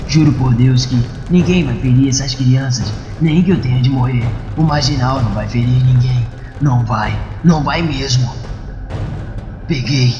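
A man's voice: medium pitch, normal speed, muffled recording. A man with a Brazilian accent giving dramatic narration of a comic book. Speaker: narrator and voice performer. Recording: echoey, reverberant, music underneath.

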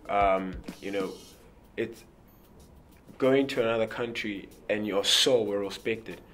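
A young South African man with a very low voice gives a relaxed, rambling interview answer, stumbling over repeated words and leaning on "you know". He sits in front of a press backdrop. Clear music plays under his voice throughout. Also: takes it slowly. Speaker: rugby player.

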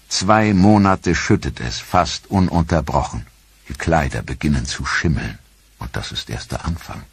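Low husky guy reading a history doc, steady and calm, dry studio sound. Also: no room sound. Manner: measured, even storytelling delivery. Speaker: documentary narrator. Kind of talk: scripted documentary narration.

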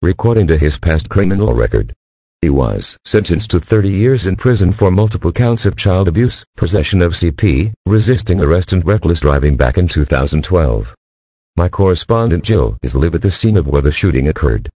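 A TV news anchor with a low, dark, husky voice reading breaking news. His delivery is formal and lively in pitch, close and dry with no room sound. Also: a little fast, no room sound. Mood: grave. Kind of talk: mock TV news broadcast.

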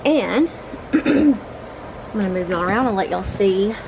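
A middle-aged woman, casually narrating a home vlog in an informal, halting, think-aloud way. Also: medium pitch, expressive, muffled recording, background noise. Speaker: home vlogger. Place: living room of a home, indoors. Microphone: camera mic on a tripod nearby.

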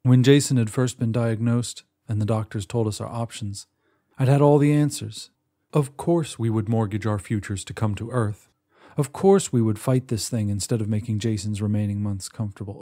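Mellow-voiced man narrating a story. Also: lowish voice. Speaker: audiobook narrator. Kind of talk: scripted first-person short story narration.

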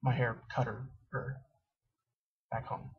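A man's voice: mid-range voice, flat delivery, slow, vocal fry, breathy edge, highs cut off. A young man in a dim dorm room, rambling casually to his webcam with lots of fillers and self-mocking asides. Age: young adult. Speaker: vlogger. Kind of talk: casual straight-to-camera vlog. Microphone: built-in webcam mic.

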